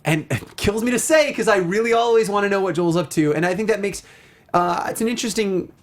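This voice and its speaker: A man with a fairly high voice hosts a casual talk show. He speaks conversationally, with excitable emphasis on his points. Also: a little fast. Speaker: show host. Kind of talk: casual film and game discussion.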